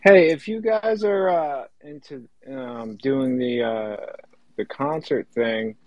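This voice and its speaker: A man chatting casually and haltingly, searching for his words. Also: medium pitch, a little mellow, no echo. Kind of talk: casual chat. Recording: dull lo-fi online call audio.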